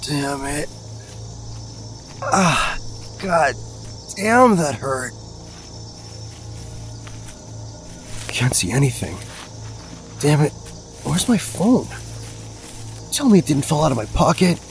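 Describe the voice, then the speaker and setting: Man playing a brash, high-pitched young ghost-hunting vlogger. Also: sing-song, slow pace, vocal fry, pauses often, soft background music, full character voice. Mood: pained, flustered. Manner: cocky, slangy, hyped-up vlogger patter. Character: brash, crude, hype-driven young male ghost-hunting video host. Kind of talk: audio drama acting as a video host.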